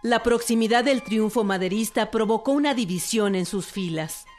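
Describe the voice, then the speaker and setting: A woman's voice: on the high side, normal speed, darkish tone. A woman with a Mexican accent reading scripted documentary narration. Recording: music behind the voice. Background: music.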